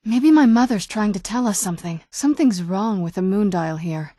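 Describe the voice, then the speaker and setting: A woman's voice: mid-range voice, normal speed, mellow, dry recording, lightly acted. A young woman playing an ancient but youthful vampire noblewoman in a video game, speaking in a wry, understated, conversational way. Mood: curious, thoughtful. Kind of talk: video game character dialogue.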